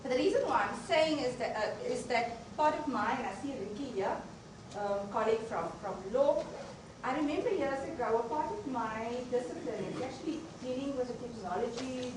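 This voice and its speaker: A woman, a university deputy vice chancellor, giving an unscripted opening address without a microphone, earnest and emphatic, often restarting phrases. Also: a little high. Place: lecture venue. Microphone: no microphone, speaking unamplified. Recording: echoey, distant room recording with noise.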